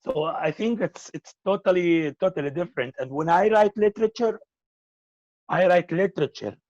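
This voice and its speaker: A man whose first language is Arabic, answering interview questions about filmmaking in a halting way and often repeating words. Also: high-pitched, expressive, darkish tone, dry recording, dull audio. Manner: halting, repeats words while searching for them.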